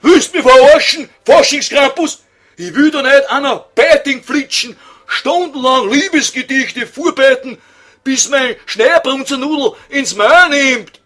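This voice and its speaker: A man's voice: very high voice, unhurried, a bit bright. A man voicing a grumpy old scholar in Viennese dialect for an audio comedy sketch, whining and impatient. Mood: impatient, irritated.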